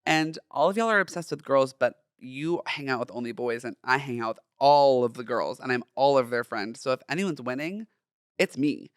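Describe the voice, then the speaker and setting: A young man from Southern California with a feminine, light voice, hosting a personal solo podcast in a chatty, campy, expressive way. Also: mid-range voice, moderate pace, clear, resonant tone, no room sound, clean studio sound. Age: young adult. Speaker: podcast host.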